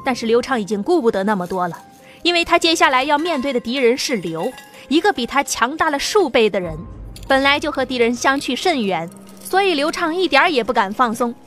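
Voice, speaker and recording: A woman narrates a science fiction audiobook in a steady, dramatic read. Her voice sits fairly high. Soft music plays quietly behind her. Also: fairly quick. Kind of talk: scripted narration.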